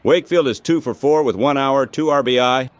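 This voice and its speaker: A man's voice: medium pitch, brisk, dry recording. A synthetic text-to-speech man's voice calling baseball play-by-play in a radio style, in short clipped phrases.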